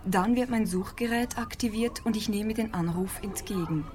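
An actress playing a brisk, officious young woman at a private clinic, giving curt instructions in a radio play. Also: medium pitch, a little mellow, close to an everyday voice. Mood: businesslike.

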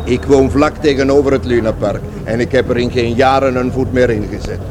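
A man's voice: medium pitch, fairly even intonation, fairly quick, natural voice. An actor playing a folksy, eager-to-please bandsman in a radio play, garrulous and familiar in his colloquial talk. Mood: chatty. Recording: noisy vehicle ambience behind voice.

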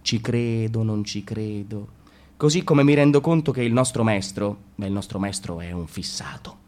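A man's voice: fairly low pitch, moderate pace, darkish tone, close to an everyday voice. A man playing a cocky, self-destructive young slacker in a radio drama, rambling and interrupting himself as he confesses. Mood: rambling, detached. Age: young adult. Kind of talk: theatrical radio drama monologue.

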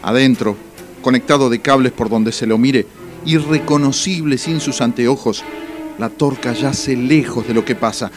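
A man narrates a comic radio drama from a script. His voice sits at a middle pitch. Light music plays beneath him. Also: normal speed.

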